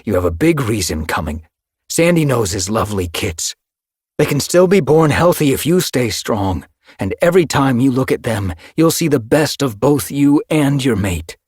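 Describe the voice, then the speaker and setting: A low, husky tomcat's voice, grieving but steady, pressing urgently and kindly, as a male narrator reads him. It sits a bit lower and rougher than usual, recorded dry and close. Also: moderate pace, dry recording. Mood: earnest, urging. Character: young male warrior cat, grieving, steady and caring. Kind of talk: audiobook character voice.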